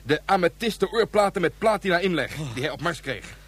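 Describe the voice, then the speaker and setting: A man's voice: high voice, animated, fairly quick, fairly bright tone, husky, full character voice. A man playing a character in a science fiction radio adventure. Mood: urgent, triumphant. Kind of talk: radio play acting.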